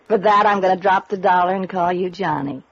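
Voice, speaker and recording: Brisk, flirty noir businesswoman. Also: fairly low pitch, husky. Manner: breezy, forward, wisecracking. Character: brisk, flirty, slangy businesswoman insurance agent. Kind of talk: 1950s radio noir acting. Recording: dull vintage radio recording.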